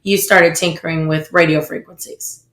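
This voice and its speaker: A woman hosting an interview, asking measured questions in an echoey room. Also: sits low, fairly even intonation, fairly bright tone. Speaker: interview host.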